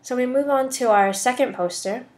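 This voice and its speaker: A woman's voice: sits high, normal speed, a little mellow. A young woman with an American accent narrating a scripted class presentation as a student voice-over. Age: young adult.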